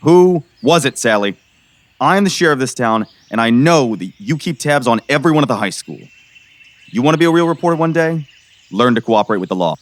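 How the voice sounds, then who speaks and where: A man acting a gruff, skeptical middle-aged sheriff in an audio drama, exasperated and pressing. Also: mid-range voice, lively intonation, fairly quick, dry recording, natural voice. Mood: pressing, stern. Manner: exasperated, sarcastic, pressing interrogator.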